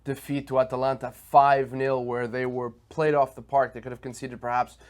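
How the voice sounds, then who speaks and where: A man's voice: mid-range voice, level tone, normal speed. A man co-hosting a sports talk show, giving analytical, stat-heavy takes in a casual tone.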